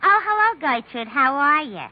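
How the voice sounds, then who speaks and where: A woman's voice: high-pitched, big pitch swings, normal speed, a faint crowd. A woman playing a chatty, wisecracking switchboard operator in a 1950s radio comedy monologue, gossipy and slangy. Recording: dull 1950s radio recording.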